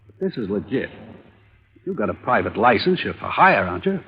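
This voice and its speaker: An actor playing a wealthy, pushy murder suspect who keeps offering money, in 1950s radio drama style. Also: male voice, very animated, fairly quick, slightly rough, full character voice. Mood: pleading. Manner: low, bargaining, offers money. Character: rich, pushy murder suspect, middle-aged man. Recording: old lo-fi broadcast, phone-like.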